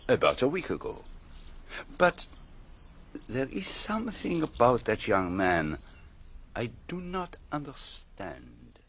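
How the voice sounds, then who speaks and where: An actor playing a courteous, inquisitive retired detective in a radio drama, asking polite, probing questions with small hesitations. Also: male voice, medium pitch, wildly swooping pitch, slow, long pauses, fairly bright tone, husky, full character voice. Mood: musing.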